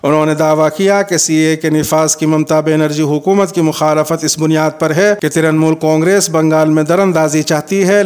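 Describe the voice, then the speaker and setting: A male news presenter with a fairly high, very clean and smooth voice. He reads scripted bulletins formally and keeps a measured delivery. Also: darkish tone. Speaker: news presenter and host of the show.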